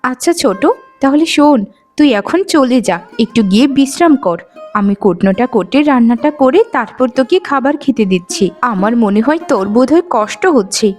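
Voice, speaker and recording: A woman voicing a patient, dutiful elder daughter-in-law in a cartoon, a gentle peacemaker with a soothing, reasoning manner. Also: on the high side, lively intonation, fairly quick, faint music behind, natural voice. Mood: conciliatory. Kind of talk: Bengali cartoon voice acting.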